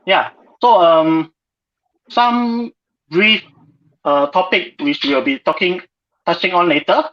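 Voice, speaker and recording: A man's voice: high voice, slow. A man moderating an informal online panel discussion as host, on a muffled video call.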